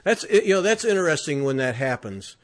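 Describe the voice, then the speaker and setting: A man's voice: fairly high pitch, big pitch swings, brisk. A man hosting a podcast, chatting casually with a jokey, teasing manner as he interviews a guest. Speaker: podcast host.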